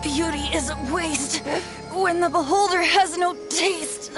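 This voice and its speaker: A woman's voice: extremely high pitch, measured pace, over music. A woman playing a boyish young bard, cheeky, playful and sly, in an anime-style video game. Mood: defiant, witty.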